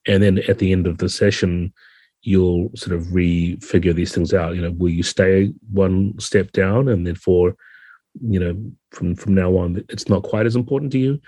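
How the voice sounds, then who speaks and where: Deep, mellow man explaining things. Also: steady tone, moderate pace, husky, dry recording. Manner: thoughtful, methodical explaining. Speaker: podcast guest, game designer. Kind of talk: explanatory interview talk.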